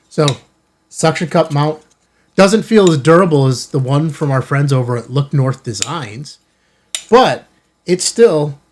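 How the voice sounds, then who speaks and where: An American man speaks in a slightly higher-than-average male register. He hosts a hobby radio channel from an indoor studio, talking casually and informally. His delivery is conversational, as if chatting straight to his viewers about gear. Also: a little hiss or hum. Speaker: ham radio channel host. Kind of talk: casual product unboxing chat to viewers. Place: indoor studio in an office building.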